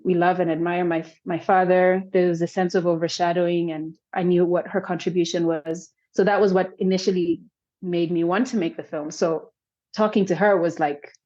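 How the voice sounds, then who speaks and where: A woman with a fairly low voice answers interview questions about her filmmaking. She speaks reflectively, circling back and restarting her thoughts as she works them out. The audio is somewhat dull and lo-fi. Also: dark tone. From South Sudan. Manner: thoughtful, many restarts and hedges. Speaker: filmmaker guest.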